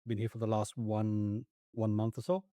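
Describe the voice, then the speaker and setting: Reflective middle-aged man, low mellow voice. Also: flat delivery, moderate pace, no echo, studio quality. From Nigeria, Ethiopia and the UK. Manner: reflective, with frequent restarts and fillers. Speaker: guest. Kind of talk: conversational interview.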